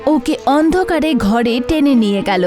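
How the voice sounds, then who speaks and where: High-pitched woman narrating horror. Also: expressive, moderate pace. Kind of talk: scripted horror story narration. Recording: music bed behind the voice.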